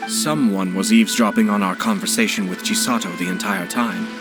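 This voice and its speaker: An actor playing a composed, shrewd young nobleman in a video game, speaking in a measured, formal, analytical way. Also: on the low side, steady tone, close to an everyday voice. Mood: calm, calculating. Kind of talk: scripted video game character dialogue. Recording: music behind the voice.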